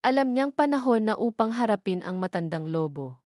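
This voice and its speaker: A woman narrating a romance audio drama in a steady storytelling manner. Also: medium pitch, normal speed, no echo, clean studio sound.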